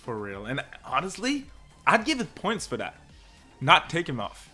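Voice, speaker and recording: A man's voice: a little high, moderate pace, slightly rough, faint music behind. A man with a French accent, co-hosting a casual podcast and rambling through his thoughts with restarts. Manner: relaxed, rambling with restarts and laughter. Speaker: podcast co-host. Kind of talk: casual chat.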